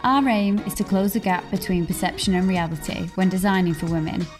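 A woman reading a scripted podcast introduction in a crisp, polished manner. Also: lowish voice, a little fast, soft background music. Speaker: show intro announcer.

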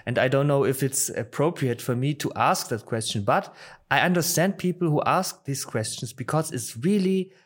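A man, born in Poland and raised in Germany, speaking hesitantly in a casual discussion, often restarting and repeating phrases. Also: on the high side. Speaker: discussion participant.

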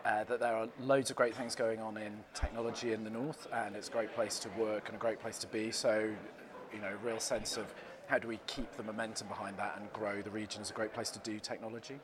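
A man being interviewed as a guest at a conference, answering in a thoughtful, measured way with hesitant fillers. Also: on the low side, little pitch movement. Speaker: event guest. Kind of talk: conversational interview. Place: busy conference venue. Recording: busy crowd noise behind. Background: crowd chatter.

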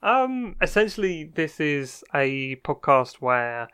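A man co-hosting a podcast in a small homemade studio, chatting casually with a hesitant, halting delivery. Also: fairly high pitch, very animated, bright, forceful tone, smooth. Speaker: podcast co-host.

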